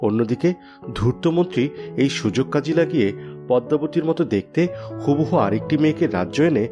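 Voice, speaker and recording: A man's voice: mid-range voice, lively intonation, brisk, highs cut off, music in the background. A man narrating a scripted fairy tale for a cartoon.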